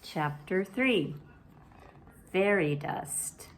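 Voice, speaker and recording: A woman storyteller reading a children's bedtime story aloud in a measured, expressive way. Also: medium pitch, slight background noise.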